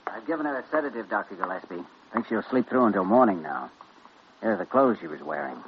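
A solemn young male doctor played by an actor in a 1940s radio play. His voice is on the low side, earnest and measured, captured on a dull, lo-fi vintage recording. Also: expressive, slight background noise, natural voice. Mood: clinical, earnest. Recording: muffled old radio recording.

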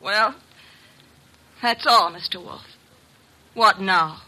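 An actress playing a bitter, vengeful wife, curt and cold, in a vintage radio mystery. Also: female voice, mid-range voice, slow pace, sharp tone, poor audio. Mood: drained. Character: bitter, vengeful wife holding a gun, steely. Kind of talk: 1950s radio mystery acting.

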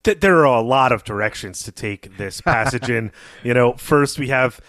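A man's voice: medium pitch, moderate pace, fairly bright tone, no room sound, studio quality. A man co-hosting a literary podcast, speaking conversationally with thoughtful, academic phrasing. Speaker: podcast co-host.

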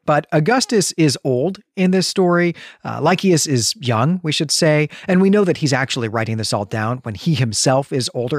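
Male podcast co-host, mid-pitched, professorial explainer in casual discussion, very dry close-mic sound. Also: dry recording. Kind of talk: conversational literary podcast discussion with explanatory asides.

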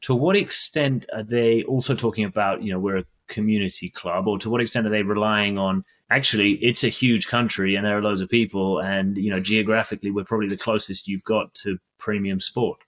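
A man's voice: fairly low pitch, fairly even intonation, fairly quick, hardly any pauses, fairly bright tone. A man hosting a business podcast, asking long conversational questions. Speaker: podcast host. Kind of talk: interview questions.

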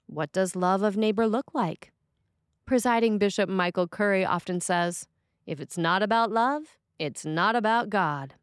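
A woman reading a scripted sermon in a measured, deliberate way with pauses between phrases. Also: medium pitch, no room sound, studio quality. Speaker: sermon reader.

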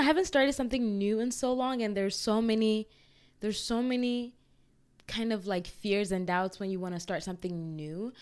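A young woman hosting her own podcast, talking in a chatty, excitable way with lots of fillers. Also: mid-range voice, clean tone. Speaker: podcast host. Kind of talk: casual solo chat.

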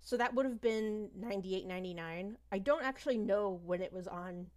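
A woman in her thirties chats casually as a podcast guest. She rambles a bit, makes fun of herself, and fills her pauses with ums, at a middling pitch. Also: a little mellow. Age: 30s. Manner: rambling, self-deprecating, hesitant with many ums. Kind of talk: casual chat.

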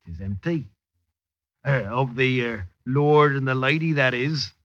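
Man playing a slow, sly old-time constable. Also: medium pitch, a bit bright, no room sound, natural voice. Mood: conspiratorial. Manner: slow, insinuating, hesitant. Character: sly, slow-spoken English street constable of the 1720s. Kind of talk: 1950s radio drama acting. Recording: dull old radio recording.

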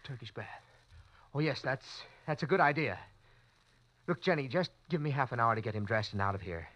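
A man's voice: mid-range voice, lively intonation, brisk, a bit bright, lightly acted. A man playing a panicked young lawyer narrating. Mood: nervous. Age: young adult. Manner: tense, anxious first-person narration. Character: panicked young lawyer who wakes beside a corpse. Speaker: narrator and lead. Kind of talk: 1940s radio acting. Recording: muffled old radio broadcast with music.

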